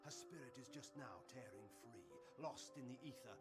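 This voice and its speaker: An actor playing a cunning, imperious ancient male vampire lord in a video game, with a grandiloquent, deliberate delivery. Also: mid-range voice, lightly acted. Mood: portentous, urging. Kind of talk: theatrical video game dialogue. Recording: loud background music.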